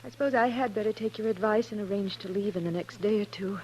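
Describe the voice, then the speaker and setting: A woman's voice: medium pitch, quick pace, a little mellow, natural voice. Sheltered young woman, female actor: mid-range voice, earnest and fretful, vintage radio western. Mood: anxious. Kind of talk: 1950s radio drama acting. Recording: humming, noisy 1950s radio recording.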